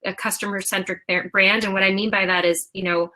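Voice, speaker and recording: A woman in her thirties, founder of a company, answering interview questions in an animated way, with many fillers and restarts. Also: medium pitch, a little fast. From half Japanese. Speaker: founder and CEO of a clothing brand.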